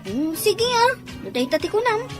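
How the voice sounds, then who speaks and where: A woman's voice: extremely high pitch, big pitch swings, normal speed, music in the background. A woman playing a chatty wedding guest in a radio drama. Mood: gossipy.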